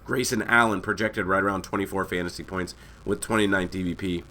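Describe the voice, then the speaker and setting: A hoarse man who is losing his voice, hosting a casual fantasy basketball breakdown that rambles and corrects itself as he talks to viewers. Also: low-pitched, steady tone, a little fast, sharp tone. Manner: rambling, self-correcting, addresses viewers as guys. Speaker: fantasy sports analyst host. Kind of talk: casual screen-share breakdown.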